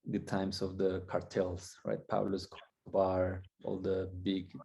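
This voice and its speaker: A man from Colombia, a guest coach, telling his story candidly and reflectively, halting and restarting as he goes. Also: deep voice, completely flat delivery, vocal fry, mellow.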